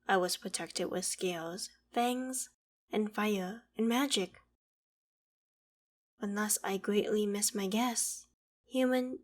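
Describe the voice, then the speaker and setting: A woman's voice: mid-range voice, lightly acted. A woman playing a proud, ancient female dragon in an audio roleplay, speaking in formal, archaic phrases with a haughty, theatrical manner that still holds fondness for humans. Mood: reflective, curious. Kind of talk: scripted audio roleplay monologue.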